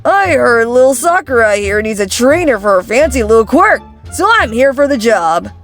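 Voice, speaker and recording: A woman voicing a breezy, boastful hero mentor in an animated comic dub, showy and upbeat. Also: on the high side, normal speed, music in the background.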